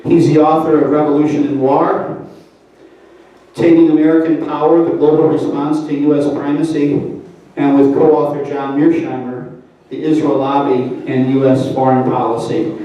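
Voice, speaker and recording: A man hosting an event, making announcements and introducing a speaker with many pauses and fillers. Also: fairly high pitch, level tone, mellow, lo-fi sound. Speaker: event host. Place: church hall. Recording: far, echoey hall.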